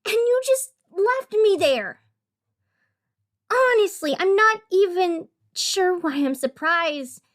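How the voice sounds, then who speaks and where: High-voiced sardonic woman radio host played by actress. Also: expressive, exaggerated voice. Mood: angry, hurt. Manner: sardonic, theatrical, pausing. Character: wry young female city radio host, bitter and wounded. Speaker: fictional radio show host. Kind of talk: scripted audio drama monologue.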